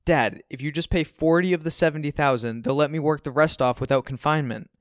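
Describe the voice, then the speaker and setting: Man acting an exasperated, pleading grown son, voice raised high. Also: takes it slowly, dry recording, studio quality. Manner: emphatic, indignant, pleading. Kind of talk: audiobook character dialogue.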